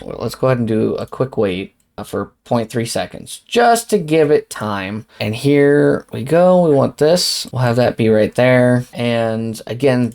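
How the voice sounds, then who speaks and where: Guy doing a laid-back how-to, mid-pitched voice, kinda thinking out loud as he goes step by step. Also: creaky. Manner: informal, talks himself through each step. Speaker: tutorial host. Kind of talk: casual screen-recorded software tutorial, thinking aloud.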